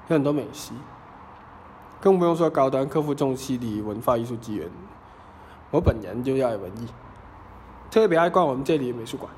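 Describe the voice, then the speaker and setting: Guy playing eager boastful property agent, radio play, highish voice, bit of background noise. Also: darkish tone, natural voice. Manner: boastful, salesman-like. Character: smug, eager male estate agent testifying in court. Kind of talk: scripted audio drama.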